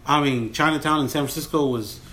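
A man's voice: fairly high pitch, brisk, a bit bright. Laid-back Texan man, casual podcast host. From Texas. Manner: laid-back, slangy storytelling. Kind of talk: casual chat.

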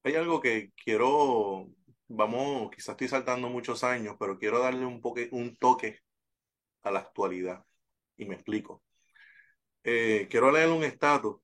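A man interviewing a wrestler, asking questions in a relaxed, conversational way. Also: mid-range voice, moderate pace.

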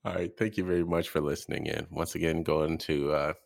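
A very low, gravelly male voice with a dry, close sound. He talks in a loose, meandering way, stopping for fillers and laughing at what he hears. His delivery is casual commentary. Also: fairly even intonation, quick pace, no room sound. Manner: rambling, hesitant, laughs often. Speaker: reaction podcast host.

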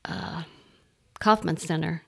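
An older woman with a lowish voice and plenty of gravelly creak. She chats casually as a guest, answering briefly and without hurry. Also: slow. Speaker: podcast guest, host's mother. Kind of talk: casual chat.

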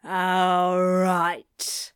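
A woman voicing a grumpy irritated man, flat, weary, a bit lower, slow. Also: clear, resonant tone.

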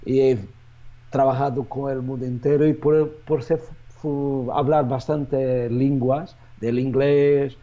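A man, a native Portuguese speaker with a Portuguese accent, answering interview questions as a real estate agent in a halting way, with many restarts and fillers. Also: mid-range voice, little pitch movement, warm tone, muffled recording.

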